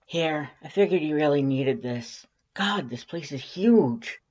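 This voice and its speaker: Woman voicing a brash, high-pitched monster gal. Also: lively intonation, measured pace, muffled recording. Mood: friendly teasing. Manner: loud, emphatic, teasing. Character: brash, excitable female monster, boisterous best friend.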